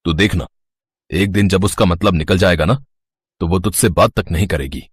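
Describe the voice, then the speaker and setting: Protective poor cartoon dad, deep, husky, lively pitch. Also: male voice, normal speed, no echo, clean studio sound. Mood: warning. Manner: pleading, warning. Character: poor worried middle-aged father, protective.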